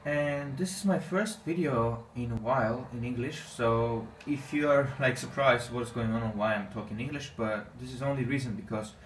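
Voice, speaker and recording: Armenian man in a roomy, echoey space. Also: mid-range voice. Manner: halting, non-native English with many fillers. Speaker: gaming channel host. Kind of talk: casual gameplay commentary. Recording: echoey room, distant mic, faint noise.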